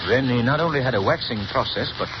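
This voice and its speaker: An actor playing a composed gentleman sleuth in a 1930s radio drama, speaking in a calm, assured and precise way. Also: mid-range voice, fast, lightly acted. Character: composed wealthy male amateur sleuth. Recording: muffled 1930s radio broadcast with hiss.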